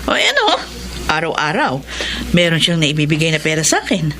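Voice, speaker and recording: A woman's voice: low-pitched, huge pitch swings, brisk, fairly bright tone, a little hiss or hum, exaggerated voice, poor audio. An actress playing a cruel, violent mother who scolds and threatens in Filipino-accented radio drama style. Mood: callous chatting. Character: cruel, violent mother bullying her son.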